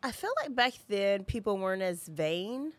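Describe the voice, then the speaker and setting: A woman's voice: mid-range voice, animated, moderate pace, dry recording. A woman co-hosting a podcast in casual, informal chat, addressing listeners in a folksy way. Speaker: podcast co-host.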